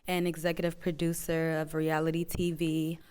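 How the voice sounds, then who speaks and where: A woman's voice: low voice, monotone, moderate pace, a little mellow, clean tone. A woman in her twenties from Minnesota, a contestant answering in a confident, flirty way. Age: 20s. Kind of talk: casual game show answers.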